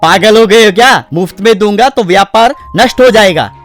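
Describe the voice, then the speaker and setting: An actor playing a simple, plaintive village husband who sells slippers in a comic story. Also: male voice, high-pitched, normal speed, fairly bright tone, faint music behind. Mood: exasperated.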